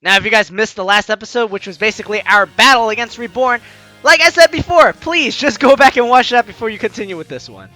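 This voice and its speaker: Young male playthrough host; chatty, high, bright voice, music under. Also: quick pace, rough recording. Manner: chatty, reads lines with asides. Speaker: gameplay host reading the story aloud. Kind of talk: let's play narration and commentary.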